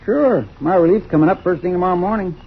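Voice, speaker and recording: Husky, folksy forest lookout. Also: male voice, high voice, fast talker, exaggerated voice. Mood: folksy, hesitant. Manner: plain, homespun, hesitant. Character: folksy, eager male forest fire lookout. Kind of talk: radio drama acting. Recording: dull old radio drama recording.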